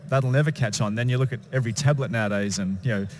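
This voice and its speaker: A man interviewing a speaker in a conference lounge, chatty and quick, with lots of short acknowledgements. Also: mid-range voice, slight background noise.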